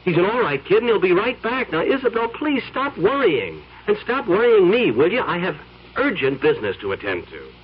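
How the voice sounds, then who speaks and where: A man's voice: sits high, big pitch swings, a little fast, slightly rough, heavily acted. An actor playing a harried, obsessed married businessman who stammers and hurries in a 1970s radio drama. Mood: impatient. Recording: dull vintage radio broadcast.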